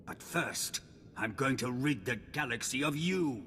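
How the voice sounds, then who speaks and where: A man's voice: mid-range voice, lively intonation, unhurried, big-room sound, very noisy, lightly acted. An actor playing an arrogant, defiant male Sith lord who talks in a haughty, threatening way, in a video game. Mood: menacing.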